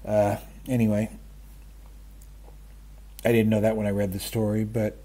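A man in his fifties or older chatting casually about stories, halting and interrupting himself in a self-deprecating way, with a husky voice. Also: on the low side, completely flat delivery, mellow. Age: 50s or older. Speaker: book vlogger. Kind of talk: casual rambling chat about stories and radio. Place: small room at home, indoors. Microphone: webcam framing, wearing wired earphones.

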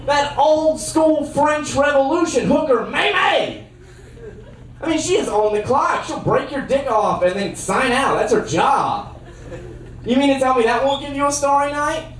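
A young man doing a stand-up comedy set with a handheld mic on a theater stage, trading dry one-liners for sudden loud bursts. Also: extremely high pitch, lively intonation, unhurried, a little hiss or hum. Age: young adult. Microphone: handheld mic on a cord. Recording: roomy sound from a far camera.

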